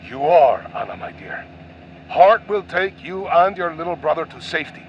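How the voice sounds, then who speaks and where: A man's voice: lively intonation, moderate pace, close to an everyday voice. A man playing a brave, devoted father and pilot in a radio play, earnest, dramatic and tender. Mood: tender, resolute. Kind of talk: audio drama acting. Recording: muffled, faint vehicle rumble.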